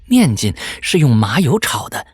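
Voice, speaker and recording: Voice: medium pitch, very animated, normal speed, a little mellow, slightly rough, no echo. A storyteller voicing a sly demon posing as a sweet young woman, polite and coaxing, in an audio picture-book drama.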